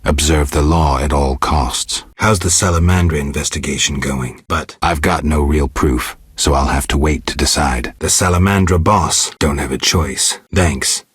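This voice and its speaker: Game lead: cynical monster-hunting mutant man, rock-bottom pitch, very gravelly, deadpan and curt. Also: a little slow, hardly any pauses, dry recording, exaggerated voice. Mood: terse, deadpan. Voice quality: gravelly, husky. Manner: terse, deadpan, dry. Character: gruff, cynical mutant monster hunter, adult man, coolly sardonic. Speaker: video game protagonist. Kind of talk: video game character dialogue.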